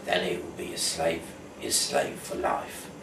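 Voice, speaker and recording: Casting: man from the UK, very low voice, halting amateur reader, recorded at a distance in a reverberant room. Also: steady tone, fairly bright tone. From United Kingdom. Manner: halting, stumbles over words. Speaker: host, amateur Bible reader. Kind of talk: casual rambling talk, then reading scripture aloud. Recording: echoey room, distant mic, clear background noise.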